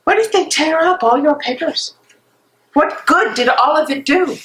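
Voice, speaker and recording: A woman acts out an anguished young fiancée in a stage play reading. Her voice is bright and forceful, a little high, with heavy emotion. The room echoes around her. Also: lively intonation, measured pace. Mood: accusing, bitter. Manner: emotional, accusing, swings to tender. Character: anguished young German fiancée of an imprisoned dissident. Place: library meeting room, live reading. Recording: echoey room, noisy with clicks.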